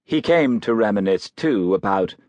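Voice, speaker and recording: A man narrating a biography audiobook in a measured, literary storytelling manner. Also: on the low side, expressive, a little mellow, no echo.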